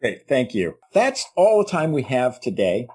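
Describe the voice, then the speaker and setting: A man's voice: medium pitch, wildly swooping pitch, unhurried, darkish tone, slightly rough. A man hosting a podcast, asking questions in a chatty way and then closing the episode. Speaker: podcast host. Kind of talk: interview hosting and scripted outro.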